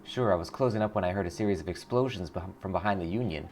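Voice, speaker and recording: A man voicing a gruff, curt female dwarf street merchant in a video game. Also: low-pitched, fast talker, darkish tone, faint music behind. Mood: matter-of-fact. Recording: clear background noise.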